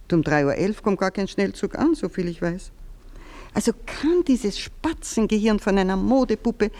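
An actress playing an imperious older baroness who runs her household with a sharp, commanding tongue in a radio play. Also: medium pitch, animated, moderate pace, lightly acted.

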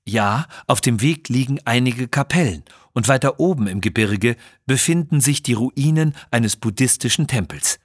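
Man voicing an earnest, cautious Sherpa guide, slightly husky. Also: mid-range voice, lively intonation, moderate pace, few pauses, no room sound, clean studio sound. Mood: respectful. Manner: formal, earnest, warning.

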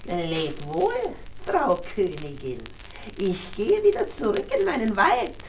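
Gleeful nasty little goblin man for a radio fairy tale, super high and swoopy, cackly, taunting. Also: wildly swooping pitch, slight background noise, exaggerated voice, poor audio. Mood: mocking, menacing. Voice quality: shrill, cackling. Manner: taunting, drawn-out gloating delivery. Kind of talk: German fairy-tale radio play acting.

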